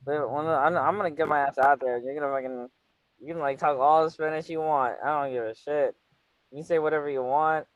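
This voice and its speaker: Guy from california, kinda high voice, dry close mic, rambling and cussing a lot, teasing his guest. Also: fairly even intonation, fairly quick, dry recording, lo-fi sound. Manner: rambling, crude, lots of fillers, teasing. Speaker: channel host. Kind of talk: casual flirty video-call chat.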